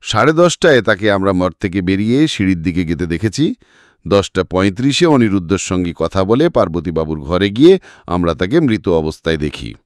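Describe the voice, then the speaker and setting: A man's voice: deep voice, very animated, moderate pace, dry recording, clean studio sound. A man voicing a confident private detective in an audio story, setting out a case in a methodical, emphatic, accusing way. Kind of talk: dramatic audiobook character reading.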